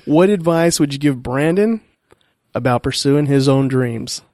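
A man's voice: mid-range voice, unhurried, darkish tone, no echo, clean studio sound. A man hosting a podcast who asks his questions in a playful way and laughs often. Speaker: podcast host. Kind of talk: casual chat.

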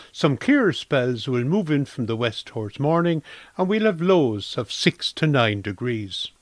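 A man's voice: mid-range voice, dry recording. A man with an Irish accent reading a scripted weather forecast for community radio.

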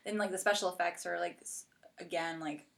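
A woman's voice: fairly low pitch, steady tone, a little fast, creaky, fairly bright tone. A woman hosting a podcast, chatting casually and informally about television like a keen fan. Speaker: podcast host interviewing a guest. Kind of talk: casual chat about TV shows.

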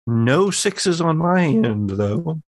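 A man's voice: fairly high pitch, very animated, takes it slowly, background noise. A man, narrating a tabletop horror roleplaying game as game master.

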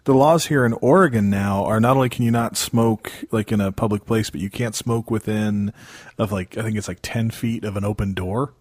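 A man's voice: fairly low pitch, normal speed, a little mellow, dry recording, clean studio sound. A man from Mississippi chatting casually as a podcast guest, hesitant, rambling and self-deprecating. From Mississippi, United States. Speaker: podcast host appearing as guest. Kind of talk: casual chat.

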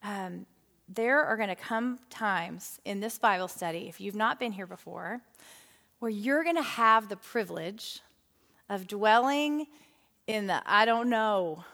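A woman leading a women's Bible study, talking in an animated, chatty way with frequent fillers. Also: medium pitch, unhurried, creaky. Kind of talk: lively teaching talk to a women's Bible study group. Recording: hall with long reverb.

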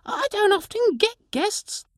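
A man voicing a tiny, excitable, hospitable male pixie with a high squeaky voice and an eager manner. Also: slow pace, no room sound, studio quality, full character voice.

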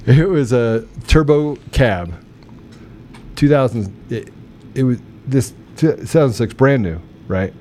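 Animated man telling stories, slight noise. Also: medium pitch, slow pace, pauses often. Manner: animated, colloquial storytelling with sound effects. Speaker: talk show host. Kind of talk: casual chat, personal storytelling.

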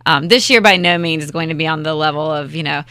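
A woman from North Carolina, a festival co-founder and guest on a podcast, talking fast in an upbeat, direct way. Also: sits low, bright, forceful tone, clean tone, no room sound, clean studio sound. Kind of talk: casual interview chat.

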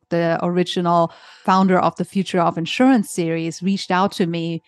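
A woman in her forties from Germany with a German accent, speaking as a guest in an interview in a relaxed, chatty way with frequent fillers. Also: medium pitch, no room sound. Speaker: guest author. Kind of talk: conversational interview.

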